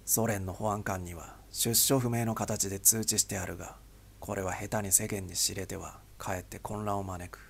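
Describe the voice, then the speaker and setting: A man voicing a grim, weary spy boss who speaks tersely and heavily in a gravelly, husky voice. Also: low voice, fairly even intonation, normal speed, pitched below the performer's own voice, heavily acted. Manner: heavy, somber, terse.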